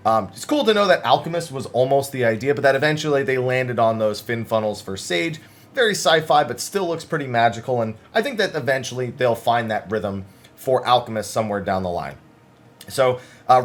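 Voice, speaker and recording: A man hosting a gaming news video, talking through written interviews in a chatty, conversational way with casual asides. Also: mid-range voice.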